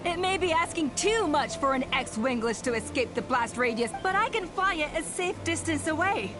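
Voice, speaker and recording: Proud young warrior woman, very high voice, stern, impassioned, animated delivery, game music behind. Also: normal speed. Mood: desperate. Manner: commanding, formal, impassioned. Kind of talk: video game voice acting.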